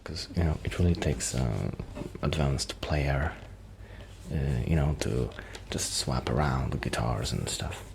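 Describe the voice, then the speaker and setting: A soft-spoken man rambling casually in a shy, hesitant, apologetic way, in the style of an ASMR friend roleplay. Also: very deep voice, unhurried. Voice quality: soft-spoken, sometimes dropping toward a whisper. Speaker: ASMR roleplay performer playing a musician friend. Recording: clear background noise.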